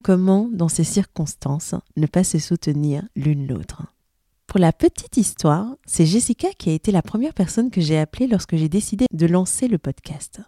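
A woman hosts a podcast interview, leading in with an introduction and then asking her guest warm, eager questions. Her tone is mellow and relaxed, and the recording is close and dry with no room sound. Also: on the low side, normal speed, no echo. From Belgium. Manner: warm, enthusiastic, encouraging. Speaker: podcast host.

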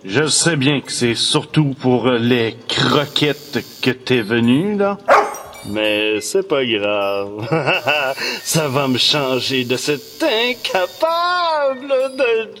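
An actor playing a demonic mad professor who gloats and cackles, in a children's radio drama. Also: male voice, mid-range voice, big pitch swings, very slow, a bit bright, a little hiss or hum, heavily acted. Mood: gloating. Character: demonic mad male professor, gleeful villain. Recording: long hall reverb with music.

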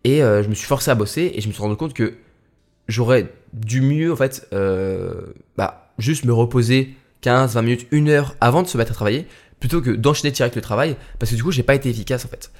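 A man's voice: medium pitch, fairly quick, darkish tone. A young man hosting his student podcast, talking casually and tiredly late at night, with lots of hesitations and restarts. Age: young adult. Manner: hesitant, many fillers and restarts. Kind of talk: casual solo podcast talk.

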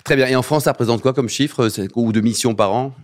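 A man's voice: medium pitch, quick pace. A man hosting a business radio show who introduces guests and questions them in a jovial, teasing way.

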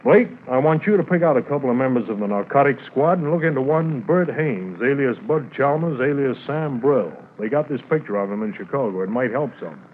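A man's voice: mid-range voice, expressive, fairly quick, hardly any pauses, natural voice. A man playing a determined, authoritative Mountie sergeant in a 1950s radio drama on an old radio recording. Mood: urgent, businesslike. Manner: authoritative, clipped. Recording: tinny, hissy 1950s radio transcription.

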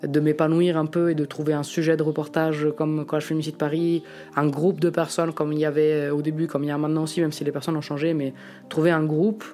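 A man in his late twenties from Italy, talking frankly and reflectively in an interview and often pausing to find his words. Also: a little high, little pitch movement, warm tone, faint music behind. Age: late 20s. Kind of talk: candid personal testimony.

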